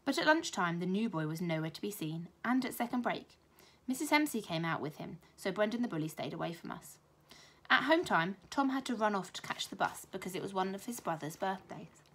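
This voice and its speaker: British lady teacher reading a kids book out loud, expressive, bit husky. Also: mid-range voice, brisk. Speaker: primary school teacher reading aloud. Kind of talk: expressive children's storybook narration.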